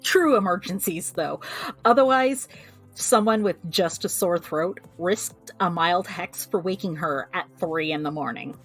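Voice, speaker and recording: A woman's voice: lowish voice, measured pace, breathy edge, faint music behind. An actress playing a chatty, wry young American woman who runs a magical family clinic, in an audio drama. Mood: reflective, fond. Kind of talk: audio drama, recording a personal log.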